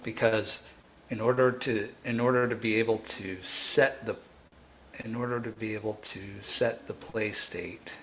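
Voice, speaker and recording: A man hosting a live coding tutorial, thinking aloud in a halting way and repeating phrases as he reasons. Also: fairly low pitch, steady tone, pushed and bright, slight background noise, low-quality recording. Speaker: programming tutor and livestream host.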